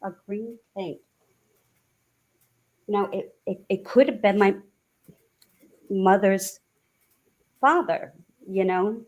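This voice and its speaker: A woman from New England with a subtle regional accent, chatting hesitantly and laughing softly as she gets a reading. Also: mid-range voice, slow, long pauses, dull audio. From New Hampshire and Massachusetts, US. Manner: hesitant, frequent soft laughter. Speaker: guest receiving a reading. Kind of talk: casual chat.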